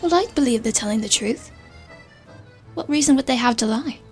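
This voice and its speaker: A woman's voice: fairly high pitch, lively intonation, normal speed, soft background music. A woman playing a welcoming, trusting town guard in a fantasy audio drama, polite and hospitable.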